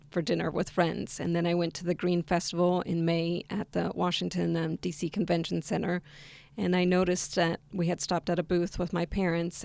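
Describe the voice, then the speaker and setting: A woman's voice: lowish voice, vocal fry, darkish tone, no room sound. A young woman from Argentina, a podcast guest, answering in a hesitant conversational way with many ums and restarts. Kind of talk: conversational interview. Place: studio table across from host.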